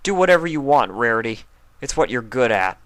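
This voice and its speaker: A man reading a fanfic aloud and voicing a heartbroken, bitter young male pony in a loud, angry way. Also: mid-range voice, sing-song, brisk, smooth, no echo, voice pushed up.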